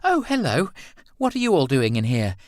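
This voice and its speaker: A man voicing a coy, high-pitched poised woman. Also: huge pitch swings, moderate pace, a little mellow, no room sound, studio quality. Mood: breezy. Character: flirtatious, self-assured woman, teasing artist's model.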